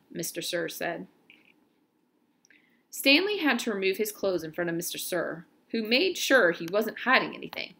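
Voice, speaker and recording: A woman reading a children's novel aloud, somewhat haltingly, often stopping to correct herself. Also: mid-range voice, a bit bright. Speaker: read-aloud narrator.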